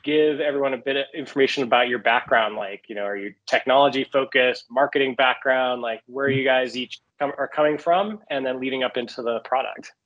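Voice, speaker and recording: Podcast host man, mid-pitched voice. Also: brisk, hardly any pauses, a bit bright, muffled recording. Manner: friendly, conversational questioner. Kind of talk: podcast interview hosting.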